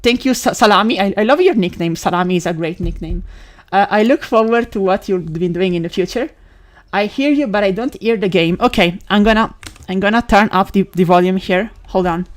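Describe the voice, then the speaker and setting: Woman chatting on a game stream. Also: medium pitch. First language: Italian. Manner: rambling, with many fillers, restarts and quick laughs. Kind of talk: casual live-stream chat while gaming.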